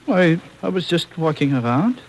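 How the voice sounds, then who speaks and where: An actor playing an evasive, defensive, agitated smuggler with a husky voice in a 1950s radio drama. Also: male voice, a little high, expressive, moderate pace, slight background noise, poor audio. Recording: old radio recording.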